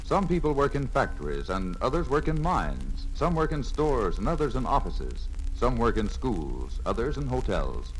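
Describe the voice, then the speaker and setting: A man with an American accent delivers scripted instruction for a 1950 training filmstrip. His medium-pitched voice moves in lively steps as he explains things clearly and methodically. It comes from a vintage record, with faint music behind it. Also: normal speed, hardly any pauses, poor audio. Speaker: training film narrator.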